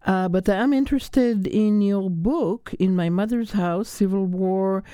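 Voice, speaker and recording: A woman hosting a radio interview, speaking unhurriedly with filler pauses into a close studio mic. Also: mid-range voice, mellow, clean tone, no echo. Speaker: radio host.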